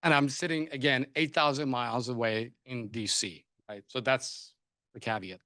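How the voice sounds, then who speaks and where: A man's voice: medium pitch, steady tone, normal speed, pushed and bright, dry recording, clean studio sound. A man whose first language is Persian, moderating a panel discussion, often hesitating and restarting his sentences. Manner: hesitant, many restarts and fillers.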